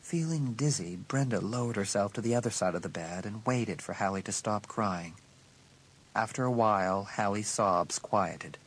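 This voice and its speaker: A man's voice: on the low side, moderate pace, breathy edge, poor audio. A man giving a dramatic, scripted narration of an audiobook story.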